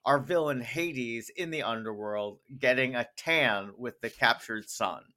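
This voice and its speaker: A man's voice: mid-range voice, a little slow, bright, forceful tone. A man co-hosting a podcast, recapping a story in a wry way with theatrical emphasis on the punchlines. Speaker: podcast co-host. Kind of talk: animated episode recap with co-host.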